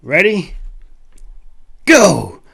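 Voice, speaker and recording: Guy putting on a hearty fantasy hunter, pitched way up, loud and excitable, really insistent. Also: extremely slow, gravelly, husky, heavily acted. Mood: commanding. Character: jovial, excitable male hunter leading a capture team.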